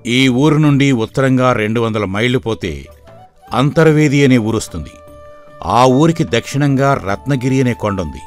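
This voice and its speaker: A man playing a weary, worried but shrewd middle-aged village father in an animated moral story, speaking plainly and earnestly. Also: mid-range voice, moderate pace, natural voice. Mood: measured, persuasive. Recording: background music throughout.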